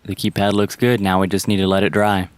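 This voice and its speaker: A man's voice: low voice, completely flat delivery, fairly quick, clean tone, no room sound. A man narrating a step-by-step repair tutorial in a plain, instructional manner. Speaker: how-to presenter.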